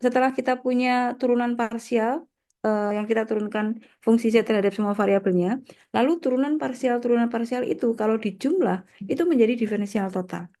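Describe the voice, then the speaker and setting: A woman's voice: a little high, a little fast, warm tone. A woman with a nasal voice from a slight cold, explaining step by step as a lecturer and often checking in with short tags. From Indonesia. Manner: didactic, with frequent check-in tags. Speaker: university mathematics lecturer.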